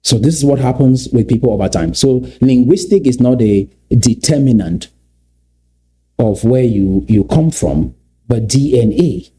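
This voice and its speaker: A man's voice: mid-range voice, expressive, a little slow, warm tone. A middle-aged man with a Cameroonian English accent, giving commentary on clips and lecturing with rhetorical questions.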